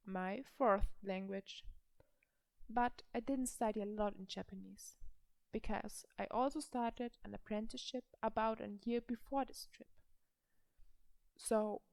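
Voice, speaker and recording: A young woman from Germany with a German accent, speaking slowly and carefully to the camera in a personal language vlog. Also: fairly high pitch, darkish tone, dry recording. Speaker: language vlogger. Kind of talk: slow personal vlog talk.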